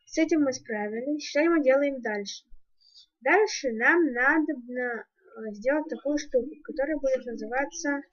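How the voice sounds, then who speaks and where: A woman giving a casual game building tutorial, thinking aloud and counting her steps as she goes, on a muffled home microphone. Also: sits high. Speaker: YouTuber, gaming channel host. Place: indoors at home, screen-recording voice-over.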